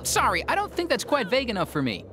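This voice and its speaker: A woman's voice: on the high side, huge pitch swings, quick pace, noisy background. A woman playing a breezy, wisecracking young superhero in a video game, casual and sarcastic. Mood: wry, breezy.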